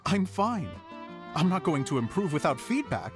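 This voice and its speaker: Animated, earnest young theater director. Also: male voice, a little high, normal speed. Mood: earnest, reflective. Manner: earnest, open, expressive. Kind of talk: video game voice acting. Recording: music behind the voice.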